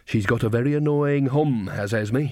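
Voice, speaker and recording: A man reading an audiobook, voicing an earthy, gossipy old female witch in a slow drawl. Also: medium pitch, darkish tone, no echo, clean studio sound, lightly acted. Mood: drawling gossip. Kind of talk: audiobook character voice.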